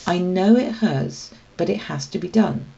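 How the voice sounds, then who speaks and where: A woman's voice: on the low side, expressive, normal speed, creaky, warm tone, muffled recording, a little hiss or hum. A woman voicing a brusque, prickly female street cat, curt and snappy, in a children's storybook reading. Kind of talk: children's storybook read aloud.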